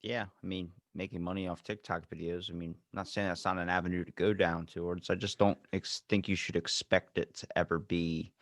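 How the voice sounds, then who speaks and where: A young man hosting a casual podcast, talking bluntly in animated rants with some swearing. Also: low-pitched, a little fast, few pauses, no room sound, clean studio sound. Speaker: podcast host.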